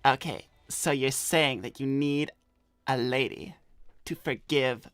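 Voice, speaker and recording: A man's voice: mid-range voice, slow, a bit bright, no room sound. Man playing a cocky male cartoon hedgehog, defensive, pompous soulful phrasing, close dry sound, livestream banter. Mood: cocky, puzzled. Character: touchy, cocky adult male green hedgehog ladies' man. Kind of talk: improvised character livestream banter.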